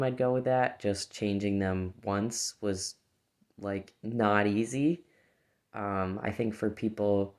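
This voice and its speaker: A transmasculine young adult man vlogging to camera in a casual, reflective monologue, hesitant and halting with many restarts. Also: lowish voice, a little mellow.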